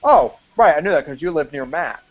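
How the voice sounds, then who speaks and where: A man's voice: a little high, animated, fairly quick. A man in a casual live-stream chat about films who swears freely and talks in fillers like "like". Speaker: film site writer hosting a live-stream chat. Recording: muffled online call audio.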